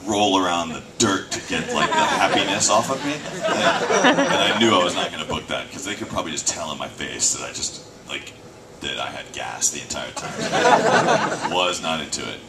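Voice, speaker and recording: A man's voice: sits low, big pitch swings, normal speed, a loud crowd. A man, a voice actor, answering fan questions at a convention panel in a casual, joking way. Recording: distant fan-shot recording with noise.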